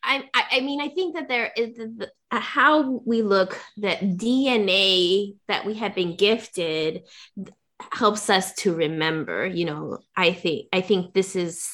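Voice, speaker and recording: A woman's voice: mid-range voice, unhurried, fairly bright tone. A woman hosting a discussion, talking in a rambling, searching way with frequent laughs. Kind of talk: conversational discussion.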